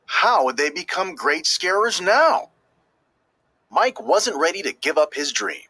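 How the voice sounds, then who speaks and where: Guy doing a kids book read aloud, bright forceful voice, super expressive and emphatic, dry close recording. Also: on the high side, measured pace, husky, no room sound. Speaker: storybook reader. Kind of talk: scripted children's read-aloud narration.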